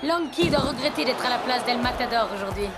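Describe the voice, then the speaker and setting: A woman voicing a female football commentator in an animated series, announcing emphatically. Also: a little high, brisk, a loud crowd, close to an everyday voice. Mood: commentating. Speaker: sports commentator. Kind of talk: cartoon match commentary. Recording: loud music behind the voice. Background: loud music.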